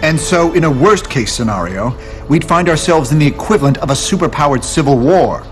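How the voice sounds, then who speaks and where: An actor voicing an earnest, brainy scientist superhero, measured and articulate, in a video game. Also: male voice, on the high side, expressive, faint music behind, lightly acted. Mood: earnest persuasion.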